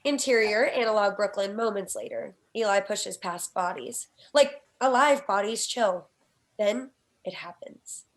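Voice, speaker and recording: A woman's voice: mid-range voice, unhurried. A woman reading out the stage directions of a comedy screenplay as scripted narration.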